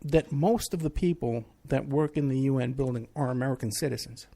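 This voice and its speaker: Sarcastic rambling New talk host. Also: male voice, medium pitch, brisk, mellow. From New York City. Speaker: radio show host, private investigator. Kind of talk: casual talk radio monologue.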